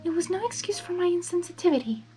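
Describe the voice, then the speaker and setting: Woman as a high, timid, pleading young pony. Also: lively intonation, quick pace, warm tone, soft background music. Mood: earnest. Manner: hesitant, pleading, apologetic.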